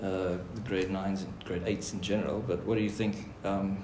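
Low-voiced man interviewing in an echoey room. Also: little pitch movement, moderate pace, darkish tone. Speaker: teacher interviewing students. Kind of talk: casual group interview. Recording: roomy echo, faint background noise.

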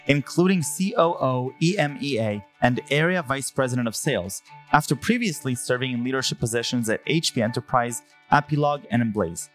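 A man hosts a business podcast, reading sponsor credits and a guest introduction before moving into questions. He speaks with an emphatic, enthusiastic delivery at a medium pitch. Soft music plays faintly behind him. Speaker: podcast host. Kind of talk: scripted intro then interview questions.

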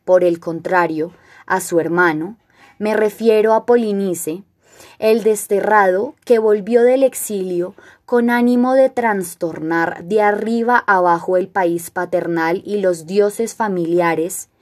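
A woman performs a stern, proud king from classical tragedy, addressing the elders. The delivery is very slow and solemn, with measured, declamatory phrasing at a middle pitch. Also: lightly acted. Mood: solemn, commanding. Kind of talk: classical tragedy monologue, recited.

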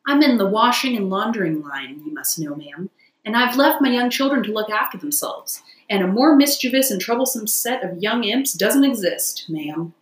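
A woman's voice: mid-range voice, expressive, moderate pace, echoey room, natural voice, poor audio. A woman voicing a boastful male toad who poses as a humble washerwoman, chatty, wheedling and self-important, for a storybook. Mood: plaintive, ingratiating.

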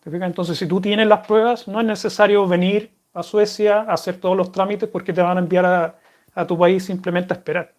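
A man's voice: sits high, steady tone, brisk, darkish tone. A man with a Chilean accent, chatting casually to viewers and answering their questions in a rambling, friendly way. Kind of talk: casual chat to camera.

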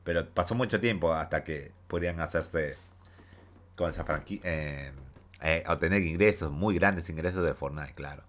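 A man with a very low voice hosts a casual gaming news podcast while fighting a head cold. His nose is stuffy, and he reads each story with chatty asides and frequent hesitations. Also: normal speed, a bit bright. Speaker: podcast host.

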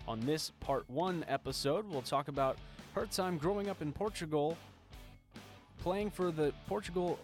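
A man hosting a university sports podcast, reading a scripted intro and then asking conversational interview questions. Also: on the high side. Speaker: podcast host.

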